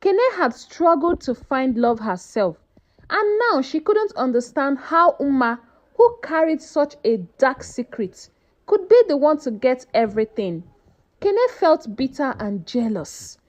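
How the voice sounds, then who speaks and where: A woman narrating a folktale as a scripted story, with an expressive, dramatic delivery. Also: high voice, measured pace.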